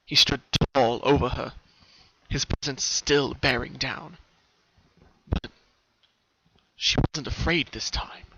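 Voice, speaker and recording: A man's voice: medium pitch, steady tone, slow pace, vocal fry, pauses often, fairly bright tone, no room sound. A man narrating a scripted story in an earnest, theatrical way. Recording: dull lo-fi recording, background noise. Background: noise.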